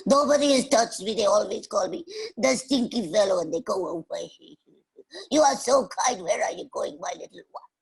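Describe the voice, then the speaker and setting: A weepy storybook boar acted by a woman, shunned and full of gratitude. The pitch is raised and swings about, with a husky edge. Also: animated, moderate pace, full character voice, low-quality recording. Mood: tearful, grateful. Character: shunned, weepy wild boar, grumpy but grateful.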